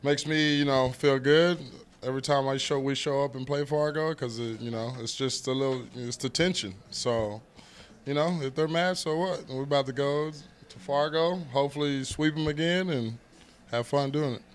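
Brief: relaxed male ballplayer, post-game interview, mid-pitched, lots of fillers, handheld mic. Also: normal speed, slight background noise. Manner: laid-back, laughs easily, many fillers. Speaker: baseball player. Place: indoors in front of a sponsor backdrop.

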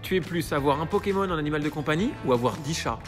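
A man hosting from off camera, reading playful this-or-that questions at a brisk clip. His voice moves up and down with lively intonation. Faint music plays behind him. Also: a little high. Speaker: interviewer host. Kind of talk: reading quick-fire questions.

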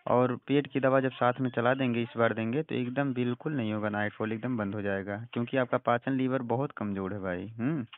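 A man's voice: medium pitch, steady tone, a little fast, few pauses, a little hiss or hum. A man working as an ayurvedic practitioner gives a phone consultation, reassuring and often repeating his words. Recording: tinny phone call recording.

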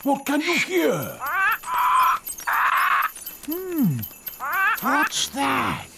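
A man's voice: extremely high pitch, huge pitch swings, drawn-out words, gravelly, heavy background noise, full character voice. A man voicing an eccentric, jolly old great uncle in a children's cartoon, muttering and exclaiming. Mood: puzzled.

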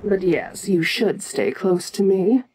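A woman playing an ancient, imperious giant monster queen in an audio roleplay, speaking in a regal, deliberate and musing manner with frequent pauses. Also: mid-range voice, lively intonation, darkish tone, husky, soft background music, poor audio. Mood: matter-of-fact, commanding. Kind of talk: slow in-character audio roleplay monologue.

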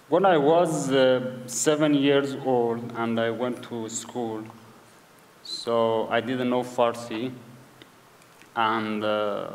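A man with a Kurdish accent speaking English as a second language, halting and searching for words as a guest at a live event. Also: medium pitch. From Iranian Kurdistan. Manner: hesitant, searching for English words, repeats himself. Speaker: author guest. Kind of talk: conversational interview on stage. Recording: hall reverb at a live event.

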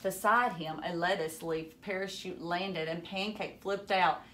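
Woman, thirties, storyteller, slow pace, animated and dramatic, teacherly asides, children's read-aloud. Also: on the low side, noisy background. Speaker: storyteller reading a picture book aloud. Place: furnished room at home.

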